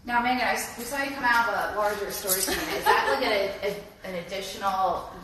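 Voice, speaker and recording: A woman's voice: medium pitch, a little fast, background noise. A woman asking casual, hesitant questions on a building tour. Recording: echoey hall from far off.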